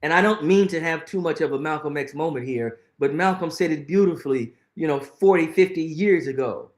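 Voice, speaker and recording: Man, middle-aged, higher-pitched, earnest, emphatic, repeats phrases, echoey room. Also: a little fast. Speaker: guest. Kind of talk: conversational interview, reflective storytelling.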